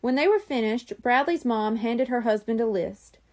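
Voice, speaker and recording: A woman reading a children's chapter book aloud in an expressive storytelling manner. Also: fairly high pitch, normal speed. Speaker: audiobook reader.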